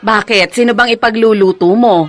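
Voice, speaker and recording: An actress playing a kindly, chatty village fish vendor and loyal friend in a Filipino radio drama, teasing and expressive. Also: female voice, on the high side, moderate pace, a faint crowd, lightly acted. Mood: teasing, curious.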